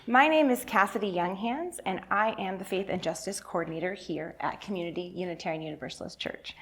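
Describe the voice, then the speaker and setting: Informal, encouraging woman addressing a congregation. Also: lowish voice. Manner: encouraging, informal, prompting listeners with questions. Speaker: church faith and justice coordinator. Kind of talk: interactive story talk for all ages.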